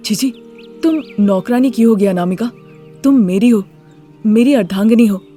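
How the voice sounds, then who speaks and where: A woman's voice: a little high, normal speed, warm tone, faint music behind, lightly acted. A woman voicing an earnest, affectionate young husband in a moral story, with a pleading manner. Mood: earnest, protesting. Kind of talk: Hindi moral story character voice.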